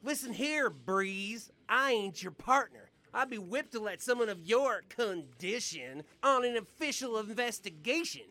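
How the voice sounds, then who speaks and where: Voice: mid-range voice, lively intonation, a little slow, few pauses, pushed and bright. A performer playing a hostile, sneering male police detective with slangy, contemptuous talk in an audio drama.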